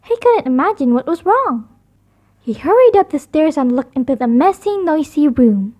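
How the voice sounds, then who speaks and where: A woman reads a children's story aloud as narrator. Her voice is high, and her intonation rises and falls in a very lively way. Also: normal speed.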